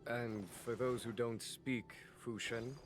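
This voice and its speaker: A man voicing an easygoing male general who talks in a smooth, playful, persuasive way, in a game cutscene. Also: medium pitch, fairly even intonation, slow pace, music in the background, lightly acted. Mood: genial.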